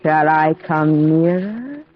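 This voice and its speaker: Predatory female vampire, alluring, extremely slow, gothic radio drama, tinny vintage recording, music behind. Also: low voice, expressive. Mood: hypnotic, teasing. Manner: languid, alluring, drawn-out. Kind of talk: old-time gothic radio acting.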